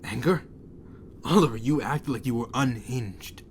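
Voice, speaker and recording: A man's voice: mid-range voice, a little slow, slight background noise, close to an everyday voice. A man acting the frank, challenging partner of a vigilante in an audio drama, with a questioning manner. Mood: confronting. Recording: music bed under the voice.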